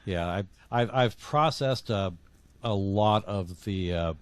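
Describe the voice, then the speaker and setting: A man's voice: fairly low pitch, slow, clear, resonant tone, no room sound. A middle-aged or older man talking reflectively as an interview guest, often repeating words as he searches for phrasing.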